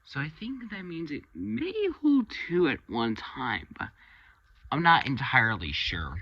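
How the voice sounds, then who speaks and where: A man giving an unscripted, casual video review of his hobby collection, rambling a little and pausing mid-sentence. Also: mid-range voice, fairly bright tone, muffled recording. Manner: halting, rambling delivery with pauses mid-sentence.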